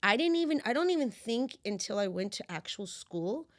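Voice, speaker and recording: Lively first-generation woman coach, dry close sound. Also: fairly high pitch, normal speed, no room sound. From United States, of Cuban parents. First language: Spanish. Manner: animated storyteller, acts out her own past voice. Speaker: guest, women's empowerment coach. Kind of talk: casual interview conversation.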